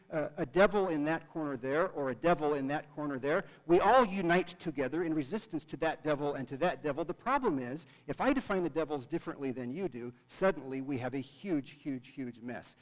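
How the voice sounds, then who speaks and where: Pastor guy preaching, earnest, repeats stuff for emphasis, slightly high voice, big echoey church hall. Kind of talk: sermon. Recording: long reverb of a large hall.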